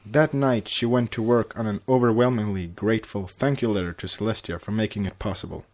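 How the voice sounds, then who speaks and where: A man's voice: fairly low pitch, steady tone, brisk, a little mellow, low-quality recording. A man co-hosting a podcast, reading fan fiction narration and chatting casually. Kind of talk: story reading and casual chat.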